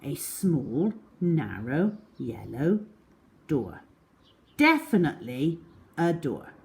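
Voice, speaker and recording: Female children's storyteller, very unhurried, deliberate delivery with big swoops of pitch for a picture-book reading. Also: gravelly. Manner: slow, deliberate, expressive storytelling with drawn-out words. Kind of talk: children's storybook read-aloud.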